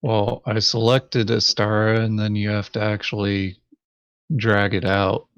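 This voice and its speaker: A man's voice: on the low side, level tone, measured pace, fairly bright tone, clean tone, dry recording, dull audio. A man chatting casually as a fellow player in a game. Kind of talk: casual gaming chat.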